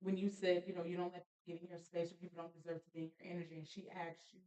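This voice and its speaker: A woman hosting a casual faith podcast, animated and preachy, laughing often as she talks with friends. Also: fairly low pitch, very fast, low-quality recording. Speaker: podcast host.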